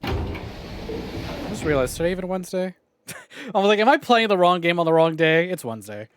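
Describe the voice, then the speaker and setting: Vloekende streamer met levendige stem. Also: male voice, high-pitched, lively intonation, moderate pace, noisy background. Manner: swears freely, jokes with chat. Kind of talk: casual livestream chatter.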